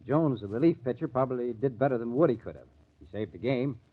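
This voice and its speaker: An actor playing a probing, skeptical murder investigator in an old-time radio crime drama. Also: male voice, mid-range voice, animated, fast talker, slightly rough. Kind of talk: 1940s radio acting. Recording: tinny, narrow-band old radio recording.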